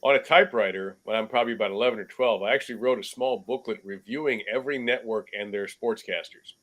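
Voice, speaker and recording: A man in his sixties, a sports broadcaster, telling stories in a relaxed, measured way as a podcast guest from a cluttered room at home. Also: fairly low pitch, low-quality recording. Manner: relaxed anecdotal storyteller, measured. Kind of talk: casual remote interview storytelling.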